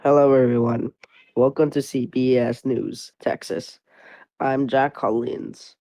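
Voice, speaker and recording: A man's voice: medium pitch, fairly even intonation, takes it slowly, mellow, no echo. A man playing a local TV news reporter giving a breaking report. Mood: formal announcing. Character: local TV news reporter facing giant monster eggs.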